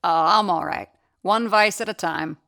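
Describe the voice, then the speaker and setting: A worldly, wry theater staffer, voiced by a woman in an audio drama. Her voice sits lower than most women's and is delivered with cool sarcasm. The sound is close and dry, with no room behind it. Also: moderate pace, creaky, bright, forceful tone, no echo. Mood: wryly amused.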